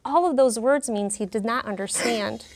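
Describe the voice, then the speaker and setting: Expressive woman reading aloud to a class. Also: a little high, moderate pace. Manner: clear, expressive storytelling with word explanations. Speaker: kindergarten teacher. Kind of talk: classroom read-aloud and teaching. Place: elementary classroom.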